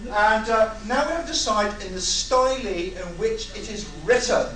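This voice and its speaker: A man's voice: sits high, lively intonation, moderate pace, a bit bright. A British man hosting an informal audience brainstorm, exasperated, sweary and bantering. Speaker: host taking audience suggestions. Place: large echoey indoor event room with audience. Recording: echoey room, far mic, crowd chatter.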